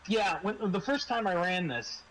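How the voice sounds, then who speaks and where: A man with a somewhat high voice narrates casually as a game master. He speaks haltingly, often doubling back on his phrases. There is some background noise on the recording. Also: lively intonation, a bit bright, poor audio. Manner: halting, trailing repetitions. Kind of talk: wrapping up a tabletop game in casual talk.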